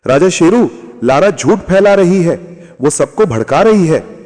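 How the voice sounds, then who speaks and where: A man's voice: high voice, expressive, a little slow, darkish tone, in a large hall, pitched above the performer's own voice. A man voicing a loyal, alert male parrot with an urgent manner in an animal storybook tale.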